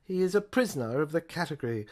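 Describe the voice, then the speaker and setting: A woman acts out a zealous chief detective serving a tyrannical regime in an audio play. He declaims in clipped, commanding pronouncements, loud and imperious. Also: low voice, lively intonation, quick pace. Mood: cold, triumphant.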